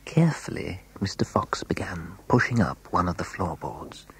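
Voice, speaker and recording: Male narrator, lowish husky voice, very animated intonation, dramatic children's storytelling. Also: moderate pace, very noisy. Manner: expressive, suspenseful, building excitement.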